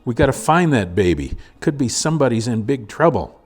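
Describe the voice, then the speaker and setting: Man voicing an insistent, worried frontier mother, raised pitch. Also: sing-song, brisk, darkish tone, slightly rough. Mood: worried, urgent. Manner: urgent, folksy rural speech.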